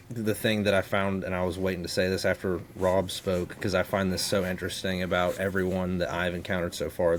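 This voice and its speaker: A man co-hosting in casual podcast chat, with an earnest, reflective way of talking. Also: low-pitched. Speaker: guest co-host, voice actor. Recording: faint background noise.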